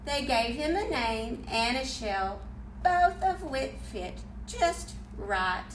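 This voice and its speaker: A woman's voice: fairly high pitch, lively intonation, takes it slowly. A woman leading a children's library storytime and reading aloud, talking in simple, encouraging, teacherly phrases. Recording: roomy, distant mic, faint noise.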